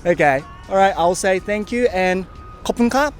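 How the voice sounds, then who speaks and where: A man's voice: high voice, animated, moderate pace, faint music behind. A man with a Japanese accent interviewing people on a city street in a chatty, friendly way, laughing often. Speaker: street interviewer, channel host.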